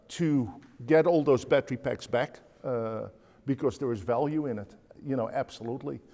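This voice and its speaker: A man's voice: mid-range voice, mellow. Dutch-accented man in a reverberant hall. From Netherlands. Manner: hesitant, many filler sounds and restarts. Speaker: panelist. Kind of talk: conference panel talk.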